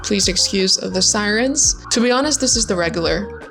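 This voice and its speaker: A woman's voice: medium pitch, expressive, moderate pace, gravelly, fairly bright tone, faint music behind. A young adult woman, a native Vietnamese speaker, talking as an English tutor in a casual, chatty video lesson, often dropping in "like". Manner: friendly, chatty, frequent "like" fillers. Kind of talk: casual YouTube lesson talk.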